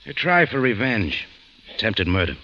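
A man's voice: fairly low pitch, very animated, normal speed, sharp tone, full character voice. An actor playing a tough male café owner and adventurer, wry and hard-boiled, with 1940s radio acting. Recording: dull old radio recording with faint noise.

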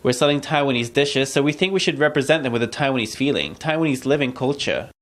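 A man's voice: medium pitch, animated, fast talker, heavy background noise. Earnest man speaking in an interview. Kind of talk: interview sound bite.

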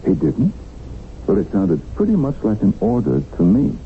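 An actor playing a cynical, world-weary middle-aged tycoon held captive, wry and taunting, in a 1970s radio drama. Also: male voice, fairly low pitch, wildly swooping pitch, normal speed. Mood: sardonic. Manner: wry, musing, sardonic. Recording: lo-fi radio recording, tinny, faint noise.

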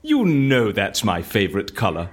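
A man playing an eccentric, excitable, childlike fussy fellow in an audio drama, with theatrical, exclamatory delivery. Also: lowish voice, normal speed, slightly rough, full character voice. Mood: amazed, excitable. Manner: theatrical, exclamatory, quaint turns of phrase.

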